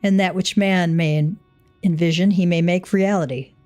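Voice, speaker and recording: Woman playing wise encouraging mentor, lofty archaic speech, composed, low-leaning pitch, game music behind. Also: steady tone, dark tone, natural voice. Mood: reassuring. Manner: measured, lofty, old-fashioned phrasing.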